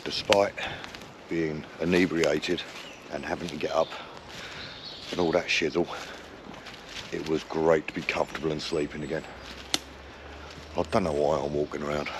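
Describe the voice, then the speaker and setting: A man's voice: extremely low pitch, flat delivery, moderate pace, long pauses, background noise. A middle-aged man, hungover and groggy, chatting jokily to camera at a woodland camp. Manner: chatty, jokey, self-mocking. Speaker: vlogger. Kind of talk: casual camping vlog to camera.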